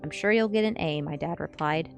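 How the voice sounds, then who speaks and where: Low-pitched woman with creaky voice, ASMR reading. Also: fairly quick, dull audio. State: sleepy. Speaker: streamer reading books aloud. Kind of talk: ASMR book reading and casual chat with viewers. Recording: music playing behind the voice. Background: music.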